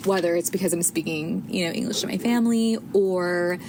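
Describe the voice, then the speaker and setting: A woman's voice: fairly low pitch, fairly quick. A woman from the United States in her thirties, hosting a casual solo podcast, chatty with many fillers and restarts. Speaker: podcast host. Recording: audible background noise.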